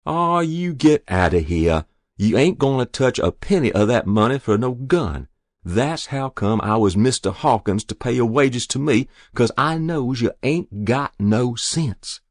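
A man narrating puts on a stern country mother from a storybook, with a rural Southern US accent. Her voice is lifted and very animated, blunt and exasperated, recorded dry and close. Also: mid-range voice, normal speed, no echo, voice pushed up. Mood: scolding, exasperated. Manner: scolding, dismissive, blunt. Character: stern, scolding rural mother, adult woman, exasperated but loving.

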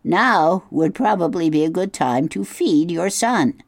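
A woman voicing a blunt, suspicious old female goose in an audiobook, talking in a brisk, scolding way. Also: lowish voice, animated, creaky, darkish tone, dry recording, clean studio sound, pitched above the performer's own voice, exaggerated voice. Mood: bossy advice. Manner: brisk, scolding, matter-of-fact. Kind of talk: audiobook reading.

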